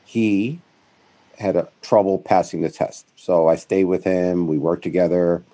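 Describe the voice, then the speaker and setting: A man's voice: low voice, measured pace. A middle-aged man, a guest in an interview, telling his career story in a rambling way, correcting himself as he goes. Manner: rambling, self-correcting, animated when quoting others. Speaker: guest, founder of a financial firm.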